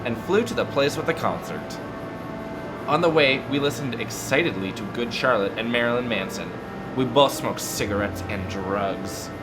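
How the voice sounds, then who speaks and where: A man narrating a story as a theatrical, deliberate dramatic reading. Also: mid-range voice, low-quality recording. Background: loud music bed.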